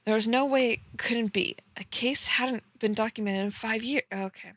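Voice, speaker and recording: Young female co-host, slightly high voice, tentative and rambling casual commentary, dry close recording. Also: moderate pace, fairly bright tone, dry recording. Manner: rambling, tentative, heavy use of "like". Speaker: co-host reading and critiquing fan fiction. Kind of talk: casual chat with read-aloud passages. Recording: dry, slightly dull lo-fi mic.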